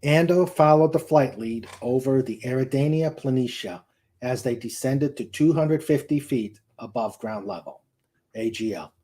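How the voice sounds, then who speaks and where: Guy reading a sci-fi novel out loud, mellow voice, careful, kinda dramatic. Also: mid-range voice, moderate pace. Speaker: host reading a novel aloud. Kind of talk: dramatic audiobook-style narration.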